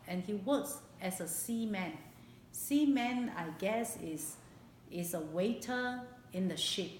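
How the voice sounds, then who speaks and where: A woman in her late fifties with a Singaporean accent recalls her life in a personal interview, speaking haltingly and repeating phrases for emphasis. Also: mid-range voice, expressive. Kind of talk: personal oral history interview. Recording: echoey room, background hiss.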